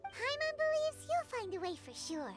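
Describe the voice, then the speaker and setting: A tiny childlike fairy companion from a video game, squeaky and excitable. The pitch is extremely high and leaps about, with loud game music underneath. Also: female voice, normal speed. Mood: encouraging. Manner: speaks of self in third person, exclaiming.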